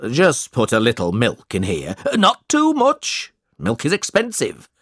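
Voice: medium pitch, huge pitch swings, measured pace, a bit bright, no room sound, studio quality, heavily acted. A storybook reader voicing a stingy, blustering middle-aged male schoolmaster who talks in a bossy, falsely generous way.

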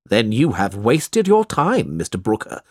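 Narrator playing a slow, stern, cold-hearted uncle. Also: mid-range voice, wildly swooping pitch, darkish tone, dry recording, studio quality. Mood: cold, angry. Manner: stern, emphatic, deliberate. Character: wicked, cold, hard-hearted rich uncle.

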